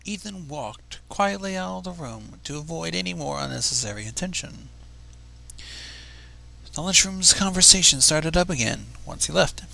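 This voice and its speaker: A man with a slightly high, bright voice reads a story aloud in an animated way. He stumbles over words, rereads them and drops in casual asides, with some creak in the voice. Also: normal speed, low-quality recording. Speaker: fan fiction reader.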